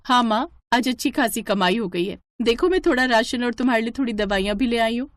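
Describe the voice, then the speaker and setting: A woman's voice: a little high, fairly quick, close to an everyday voice. A woman voicing a poor, dutiful young food-cart seller in a moral story, earnest and respectful. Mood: cheerful, dutiful.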